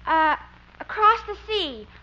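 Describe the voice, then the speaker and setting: An actress in 1950s radio comedy playing a nervous, scatterbrained, giggly young amateur player who halts and hesitates. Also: female voice, extremely high pitch, animated, slow, heavily acted. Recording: noisy, muffled 1950s radio recording.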